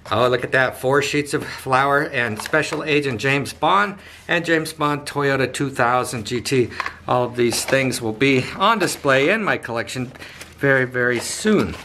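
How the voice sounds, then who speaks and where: A man's voice: a little high, a bit bright. A middle-aged man in a casual home vlog, chatty and enthusiastic as he unpacks, with salesy asides, recorded in a living room by a camera at some distance. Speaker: toy car collector and dealer. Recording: echoey living room, faint background noise.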